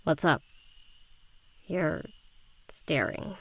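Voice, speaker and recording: A woman's voice: low-pitched, animated, very slow, full character voice. A woman playing a jaded, blunt teenage girl in a visual novel, with a dry, sardonic, curt delivery. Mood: blunt, suspicious.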